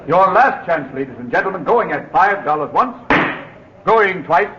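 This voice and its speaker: An actor playing a jolly charity auctioneer with showy sales patter, in 1940s radio acting. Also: male voice, high voice, animated, moderate pace, background noise. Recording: echoey dull old radio recording with crowd.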